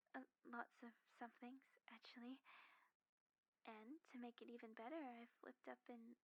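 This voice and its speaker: Affectionate ex-villain wife, played by a woman, fairly high, soft and playful, close dry voice-message sound for ASMR roleplay. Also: dry recording, highs cut off. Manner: soft, intimate, hesitant pauses. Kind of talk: scripted audio roleplay, ASMR.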